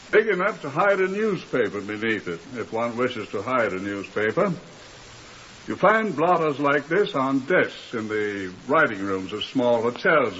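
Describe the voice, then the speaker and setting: An expressive, urbane British police curator. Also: male voice, mid-range voice. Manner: formal, deliberate, with dramatic pauses. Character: urbane, deliberate police museum curator and storyteller. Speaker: presenter of the drama. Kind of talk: 1950s radio mystery narration. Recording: muffled old radio recording with hiss.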